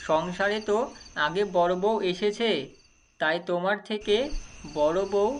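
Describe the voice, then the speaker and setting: A woman voicing a patient husband in a cartoon story, advising in measured, instructive short phrases. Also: mid-range voice, a little hiss or hum, lightly acted. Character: husband patiently advising his two rival wives. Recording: music behind the voice.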